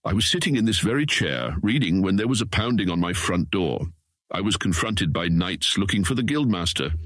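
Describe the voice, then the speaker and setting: Low-voiced, hearty rotund guildsman. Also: expressive, normal speed, fairly bright tone, husky, dry recording, exaggerated voice. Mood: concerned. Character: rotund, jovial, old-fashioned male guildsman and wagon trader. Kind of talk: audiobook drama acting.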